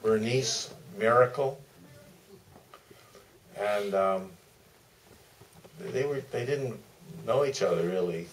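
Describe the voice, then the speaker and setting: A man's voice: lowish voice, takes it slowly, long pauses. A man on a Q&A panel, answering informally and searching for his words. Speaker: film director on a Q&A panel. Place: theater stage. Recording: distant theater mic, faint noise.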